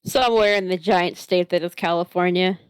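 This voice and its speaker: Young woman, East Coast American accent, low-key and blunt, smooth dry voice on a call. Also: steady tone, fairly quick, no room sound, poor audio. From East Coast of the United States. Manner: blunt, swears casually, tired. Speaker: podcast co-host. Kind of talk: casual chat among friends. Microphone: own mic on a voice call.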